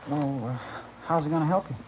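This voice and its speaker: An actor playing an eager, nervous American high school boy, chatty, hesitant and over-curious, in 1950s radio drama style. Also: a little fast, narrow, tinny audio, natural voice. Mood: uneasy, probing. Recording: scratchy, band-limited vintage radio recording.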